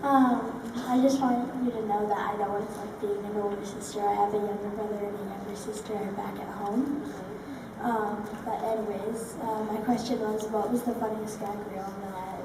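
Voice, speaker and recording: A woman's voice: mid-range voice, fairly even intonation, moderate pace. A woman fan asking an earnest question at a convention panel. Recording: echoey hall, distant mic, noise.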